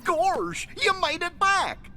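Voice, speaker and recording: A woman voicing a friendly, eager, upbeat animal companion in an animated video game. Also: extremely high pitch, slow pace, a little hiss or hum, full character voice.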